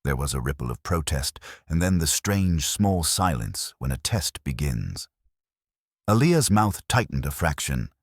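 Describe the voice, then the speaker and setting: Very deep-voiced man narrating a detective story. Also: sing-song, measured pace, husky, no room sound, studio quality. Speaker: audiobook narrator. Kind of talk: scripted first-person detective story narration.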